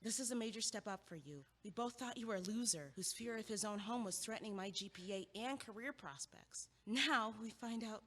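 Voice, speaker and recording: A woman's voice: medium pitch, fairly quick, hardly any pauses, a bit bright, lightly acted. A woman playing a blunt, driven young college student in an audio play, with a sardonic, emphatic delivery. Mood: wry, teasing. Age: young adult. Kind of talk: stage-style audio play dialogue. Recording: echoey hall with long reverb.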